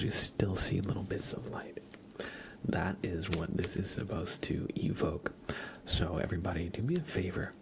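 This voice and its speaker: A man whispers softly as an ASMR livestream host, his voice very low when it surfaces into a murmur. There is a gravelly creak to it. He talks informally and soothingly, as if guiding listeners toward rest. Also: rough recording.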